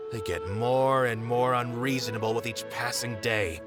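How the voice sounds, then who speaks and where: A man's voice: medium pitch, a little slow, over music, natural voice. A man voicing a weary, exasperated theater manager in a video game. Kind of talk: video game character acting.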